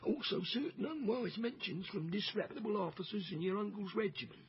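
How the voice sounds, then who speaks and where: An actor playing a deferential servant in a radio drama, a theatrical and confiding teller of dark family secrets. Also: male voice, animated, fairly quick. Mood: grave, dramatic. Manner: theatrical, confiding, hushed to booming. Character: deferential, gossiping male household servant, darkly dramatic storyteller. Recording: dull, noisy radio drama recording.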